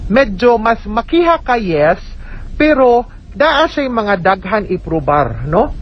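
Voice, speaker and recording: A woman's voice: medium pitch, big pitch swings, slow pace. A woman with a Cebuano Filipino accent giving spoken legal advice on the radio, explaining emphatically and checking with tag questions. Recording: dull, noisy radio recording.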